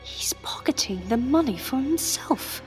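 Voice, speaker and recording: A woman playing a plucky, wry undercover agent, narrating confidingly to the listener in a radio drama with a deliberate delivery. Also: high-pitched, expressive, darkish tone, soft background music. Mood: hushed aside.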